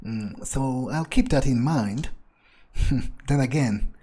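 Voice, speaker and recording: Cynical vampire ex-gangster , played by a male gamer: husky, unhurried, muttering sardonic inner thoughts. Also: medium pitch, mellow. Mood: wry musing. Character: cynical crude male gangster turned vampire, foul-mouthed. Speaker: gamer playing in character. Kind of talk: improvised in-character thinking aloud.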